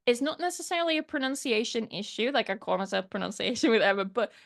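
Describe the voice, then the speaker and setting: A woman in her thirties from England, a pronunciation teacher chatting as an interview guest with animated storytelling and lots of fillers. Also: a little high, fast, vocal fry. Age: 30s. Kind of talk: casual interview chat.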